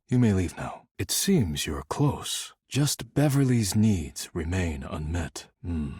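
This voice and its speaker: A male actor playing a stern, proud, easily offended dishware character in a video game, speaking formally and curtly with solemn deadpan. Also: low voice, husky, dry recording, clean studio sound, full character voice. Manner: formal, curt, deadpan, solemn, avoids contractions.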